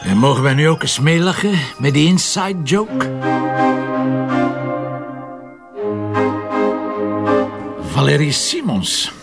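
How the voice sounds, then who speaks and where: A man's voice: a little high, lively intonation, moderate pace, gravelly, over loud music. A man with a Flemish accent playing a probing, sceptical police commissioner in a crime drama, questioning in a dry, insistent way. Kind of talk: radio crime drama dialogue.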